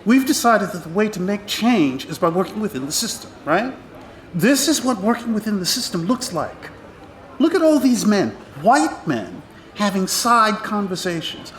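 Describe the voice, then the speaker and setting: A man's voice: high voice, big pitch swings, moderate pace, roomy sound, a little hiss or hum. An actor playing a pragmatic American congressman in an audio drama, lecturing insistently with an American accent. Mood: exasperated, insistent. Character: pragmatic, cautioning male congressman, seasoned political insider.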